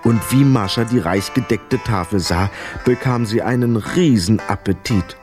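A man's voice: fairly low pitch, normal speed, over music. A man narrating a scripted children's radio play as a storyteller.

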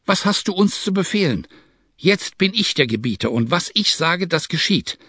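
A man voicing a proud, domineering male Bedouin chieftain who shouts his orders in a high, strained voice. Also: animated, moderate pace, no room sound, heavily acted. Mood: furious. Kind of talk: audiobook acting.

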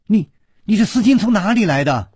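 A man's voice: on the low side, big pitch swings, normal speed, warm tone, slightly rough, no echo, clean studio sound. Man acting out a spirited, petulant young princess. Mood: angry, flustered. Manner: petulant, exclaiming, stammering when upset. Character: spirited young princess, teary, teasing and quick to rage.

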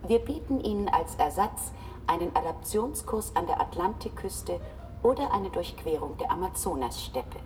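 An actress playing a polite, unyielding travel service machine in a German science-fiction radio drama, formal and formulaic. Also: female voice, lowish voice, animated, moderate pace, a little mellow, far from the mic, a little hiss or hum, lightly acted, low-quality recording. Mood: courteous, unyielding. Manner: formal, formulaic, repeats set phrases.

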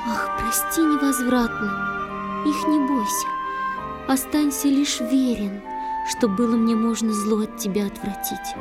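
A woman playing a gentle, loving young water-spirit wife who pleads tenderly in drawn-out theatrical verse for a children's audio drama. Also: on the high side, fairly even intonation, close to an everyday voice. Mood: grieving. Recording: studio drama over soft music.

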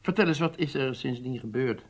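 An actor playing a grave older prime minister in a radio drama, with a gravelly, husky voice. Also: male voice, mid-range voice, lively intonation, fairly quick. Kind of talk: Dutch radio drama acting.

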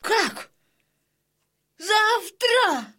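An actress playing a tender, grieving old Cossack mother in an audio drama, lamenting in a plaintive, drawn-out way. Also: very high voice, sing-song, sharp tone, heavily acted. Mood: alarmed, pleading. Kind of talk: Russian audio drama acting.

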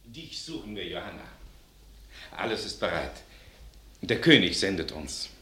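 An actor playing a pressing, formal royal knight and messenger in a classical verse radio drama. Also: male voice, sits low, very animated, measured pace, fairly bright tone, a little hiss or hum, heavily acted. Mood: formal summons.

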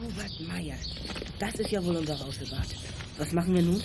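A man's voice: sits high, normal speed, vocal fry, loud music behind the voice. A male actor playing a young boy detective on a stakeout in a children's audio drama. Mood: hushed curiosity. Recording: noisy outdoor drama ambience.

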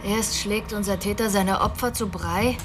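An actress playing a sharp police detective in a German radio crime drama, dry, matter-of-fact and sardonic. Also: female voice, mid-range voice, steady tone, normal speed, loud music behind the voice, natural voice. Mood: dry, analytical.

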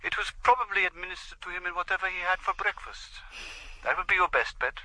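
An actor playing a brisk, confident police doctor who relishes his findings, in a radio drama. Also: high voice, pushed and bright, dry recording, low-quality recording. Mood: knowing, relishing. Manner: brisk, knowing.

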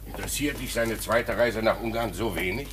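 An actor playing a kindly, patient, learned court official in a German radio drama, avuncular and formally eloquent. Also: male voice, fairly low pitch, brisk, fairly bright tone, lightly acted. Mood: amiable. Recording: noisy old radio drama recording.

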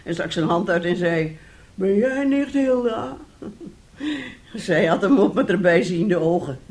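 A woman's voice: mid-range voice, expressive, slow pace. An actress in a radio drama playing a bitter, sarcastic middle-aged wife and mother who talks reproachfully and theatrically. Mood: scornful. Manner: reproachful, sarcastic, dramatic, swinging between hushed and loud. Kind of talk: radio drama acting in Dutch.

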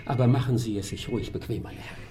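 A man's voice: mid-range voice, fast, mellow, reverberant, close to an everyday voice. An actor in a German radio drama playing a talkative, wistful old male robot, a courteous, rambling ship's officer. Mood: explaining, courteous.

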